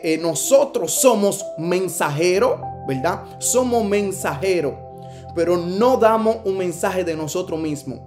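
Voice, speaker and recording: Earnest Dominican evangelist preaching emphatically. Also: male voice, high-pitched. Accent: Dominican Spanish. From Dominican Republic. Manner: earnest, repetitive, many filler "eh"s, rising emphatic. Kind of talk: sermon over video call. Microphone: video call.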